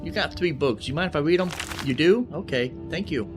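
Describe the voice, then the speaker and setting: A man's voice: a little high, lively intonation, fairly quick. A man giving casual, rambling gameplay commentary in a folksy way, as the host of a gaming channel. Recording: game music behind the voice. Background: game music.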